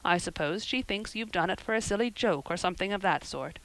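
A woman reading an audiobook, voicing a stern, practical older woman who scolds briskly and plainly. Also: mid-range voice, a bit bright, dry recording, natural voice, low-quality recording. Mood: stern, dry. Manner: brisk, scolding and matter-of-fact. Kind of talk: audiobook character voice.

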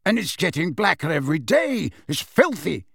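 A man's voice: a little high, sing-song, moderate pace, gravelly, breathy edge, no echo, studio quality, pitched above the performer's own voice, exaggerated voice. A man voicing a proud grown man who speaks coldly and impatiently, raised a bit above his own voice. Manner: sharp, cold and impatient. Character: proud cold adult man, impatient and curt.

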